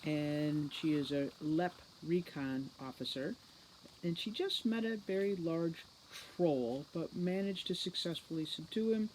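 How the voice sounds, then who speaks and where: A woman reading a children's novel aloud as an expressive narrator. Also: low-pitched, a little hiss or hum, rough recording. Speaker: storybook reader.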